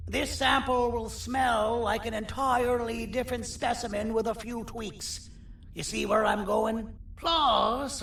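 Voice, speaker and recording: A very gravelly, high-pitched monster schemer. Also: male voice, a bit bright, heavily acted. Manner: theatrical, drawling, sardonic, folksy turns of phrase. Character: four-armed tireless monster surgeon, bossy, sardonic, self-important. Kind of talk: video game character acting. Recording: roomy echo, distant, faint noise.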